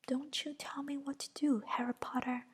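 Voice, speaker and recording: A woman voicing a furious, shrill young witch, whispering her lines in a higher pitch. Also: little pitch movement, moderate pace, lightly acted.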